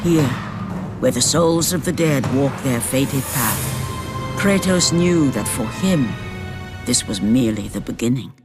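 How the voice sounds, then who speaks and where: A solemn storyteller narrating an ancient Greek myth in a video game. The delivery is deliberate and dramatic, set over loud music. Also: female voice, extremely low pitch, animated, exaggerated voice.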